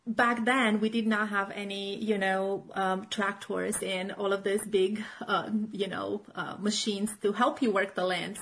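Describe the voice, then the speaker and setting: A middle-aged woman from Romania, chatting in an interview as a children's author and teacher, full of fillers and restarts. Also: mid-range voice. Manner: chatty, many fillers and restarts, laughs often. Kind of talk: casual interview chat.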